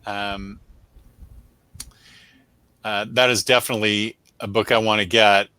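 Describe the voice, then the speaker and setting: A man's voice: lowish voice, flat delivery, a little slow, sharp tone, clear, resonant tone, no room sound. A man hosting a comic book review show in casual livestream chat, rambling along in a self-deprecating way.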